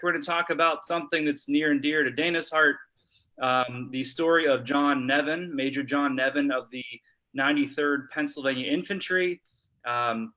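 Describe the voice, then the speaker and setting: Bright-voiced man hosting, halting and informal. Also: a little high. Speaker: host, history blog contributor. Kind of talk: casual live-stream introduction and chat.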